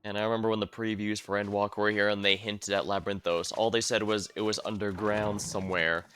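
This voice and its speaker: A man's voice: on the low side, level tone, fast, fairly bright tone, clear, resonant tone. A man giving casual livestream commentary as he plays through a story game. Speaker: game streamer. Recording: game music behind the voice. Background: game music.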